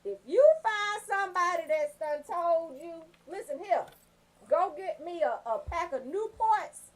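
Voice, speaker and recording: An American woman hosting a comedic radio show, telling stories in an animated, folksy, profane way. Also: very high voice, a little slow. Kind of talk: comedic radio banter and storytelling.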